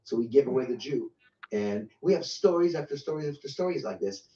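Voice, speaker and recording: A man's voice: medium pitch, animated, mellow. French-accented man in his sixties, faltering. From France. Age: 60s. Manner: halting, with many repeated words and restarts. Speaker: guest. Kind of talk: personal testimony in an interview. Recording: echoey, distant, dull lo-fi sound.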